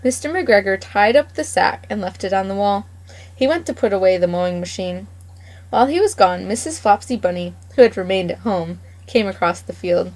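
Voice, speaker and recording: A woman reading a children's story aloud in a gentle, expressive way, now and then correcting a slip. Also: mid-range voice. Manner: gentle, expressive read-aloud with small slips and self-corrections.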